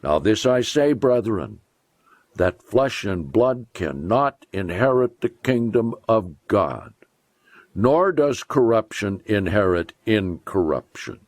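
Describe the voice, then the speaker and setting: Male preacher for solemn scripture reading: low voice, widely varied intonation, deliberate and emphatic delivery. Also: big pitch swings, a little mellow, no echo.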